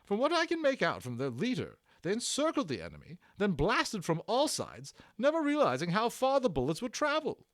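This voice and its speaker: Man putting on a shrill, scolding little nurse woman. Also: huge pitch swings, brisk, hardly any pauses, fairly bright tone, no echo, studio quality, full character voice. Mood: exasperated. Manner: exclaiming, scolding.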